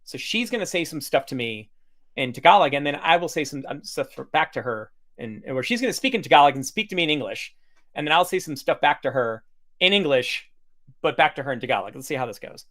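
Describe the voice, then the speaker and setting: A man hosts a casual livestream demo from a video call, talking in a chatty way with lots of fillers and restarts. His voice sits a little high and swings widely in pitch. He sounds relaxed and informal throughout. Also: fast talker. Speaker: host demoing software.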